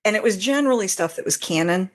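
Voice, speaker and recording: A woman chatting casually as an interview guest, informal and expressive, voicing her own reactions. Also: medium pitch, fast talker. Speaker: interview guest, fanfiction author. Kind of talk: casual chat.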